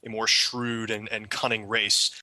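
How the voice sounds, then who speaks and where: A man's voice: lowish voice, little pitch movement, measured pace, bright, forceful tone, no echo. A man speaking enthusiastically in a casual podcast discussion as one of the panelists.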